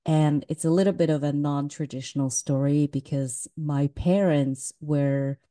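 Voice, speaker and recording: A woman's voice: deep voice, steady tone, measured pace, dark tone. A woman raised in Switzerland, talking candidly as a podcast guest and laughing easily. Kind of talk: casual interview talk.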